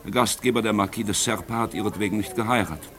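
An actor playing a worldly, paternal older playwright in a 1950s radio play, eloquent and drily ironic. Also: male voice, fairly low pitch, fast, a bit bright, close to an everyday voice. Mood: wry. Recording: noisy 1950s radio drama recording.

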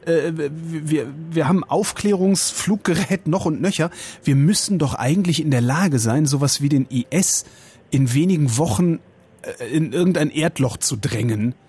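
A man's voice: fairly high pitch, normal speed, warm tone, slightly rough. A man hosting a late-night radio call-in show, musing aloud and throwing out provocative, opinionated questions. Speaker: radio host.

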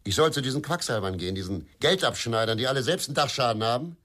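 A man's voice: medium pitch, expressive, fast, a bit bright, a little hiss or hum, natural voice. A man playing an embittered, hard-drinking ex-detective in a crime radio play, combative and defiant. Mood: scornful. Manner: scornful, defiant.

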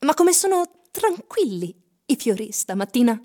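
A woman's voice: fairly high pitch, very animated, normal speed, warm tone. A woman acting a capricious, seductive singer in a radio drama, playful, coy and teasing.

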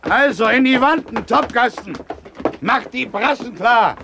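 An actor playing an impatient pirate sailor who barks urgent orders across a deck in a 1970s German radio play. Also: male voice, animated, unhurried, creaky, exaggerated voice. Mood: bossy, urgent. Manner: barking, urgent, high strained calling. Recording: noisy old radio ship-deck scene.

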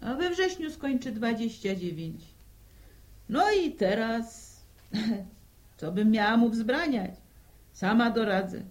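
Slow-spoken, fretful older village widow. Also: female voice, a little high, lively intonation. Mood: defensive. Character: anxious older village widow, protective mother. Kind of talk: radio drama acting.

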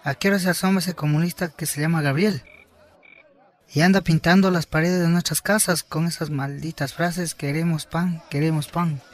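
A man playing an excitable, indignant townsman, urgent and complaining, in a radio drama. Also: fairly high pitch, moderate pace, natural voice.

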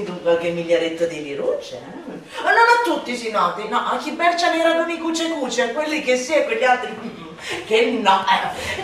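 A woman performing a satirical literary monologue for radio theatre in a declamatory, sardonic manner, swinging between hushed and shouted delivery. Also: a little high. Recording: very echoey room, distant mic, faint noise.

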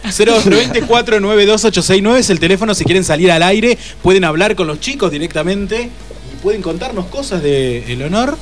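High-voiced Argentine radio host over clear music. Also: male voice, lively intonation, fairly quick. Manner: chatty, teasing. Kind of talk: casual live band interview. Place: radio studio. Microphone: shared studio microphone.

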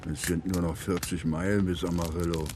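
A man playing a sardonic Old West frontiersman in a radio play. His voice is low, dry and laconic, with outdoor-style ambient noise around it. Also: little pitch movement, fairly quick, a little mellow, natural voice. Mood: dry mockery. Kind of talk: radio drama acting. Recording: clear ambient noise behind.

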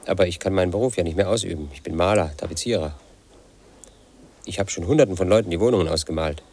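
A man's voice: sits low, fast, darkish tone. An actor in his late thirties playing a lonely, suspicious house painter, speaking a bitter inner monologue in a radio drama. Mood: brooding. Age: late 30s. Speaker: protagonist narrating his life.

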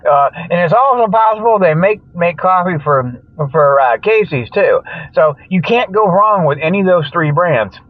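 Older male comic radio host, higher voice, rambling and folksy, dry lo-fi sound. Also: animated, normal speed, no room sound. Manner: folksy, jokey, chuckles at own jokes, loses his train of thought. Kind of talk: rambling tongue-in-cheek radio monologue with mock sponsor plugs.

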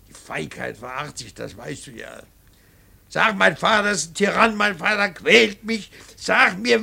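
Brooding middle-aged father, radio drama, slow halting speech, bright forceful voice, reproachful. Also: high voice, gravelly, breathy edge, heavily acted. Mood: desperate pleading. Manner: deliberate, halting, emphatic, swinging from muttered to pleading. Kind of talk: German radio drama acting.

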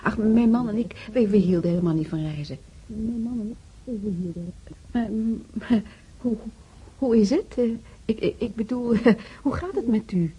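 An actress playing a pious, chattering widowed church volunteer in a radio drama, stammering and repeating herself with a husky, breathy voice. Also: female voice, a little high, lively intonation, normal speed, pauses often, warm tone, dull audio, slight background noise, natural voice. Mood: hesitant, probing. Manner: rambling, stammering, repeats herself, earnestly preachy. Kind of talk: naturalistic radio drama dialogue.

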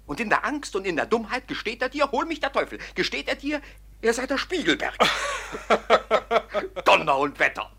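Gloating male bandit for radio drama: highish, very animated, theatrical. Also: normal speed, gravelly, long pauses, breathy edge, full character voice. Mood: gleeful boasting. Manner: gloating, swaggering. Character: boastful, gleefully wicked male bandit storyteller.

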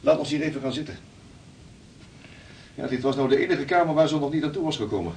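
A man's voice: on the high side, a little mellow, natural voice. Man as a hesitant bereaved seafarer, noisy recording. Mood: subdued. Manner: hesitant, polite, halting. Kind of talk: radio play acting. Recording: noisy, with background ambience.

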